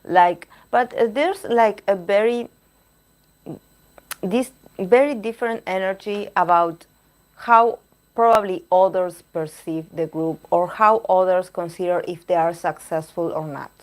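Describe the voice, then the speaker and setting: A woman reading tarot cards on a live stream, chatting with viewers and pausing to search for words, her voice going hoarse. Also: on the low side, rough recording. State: losing her voice. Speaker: tarot reader.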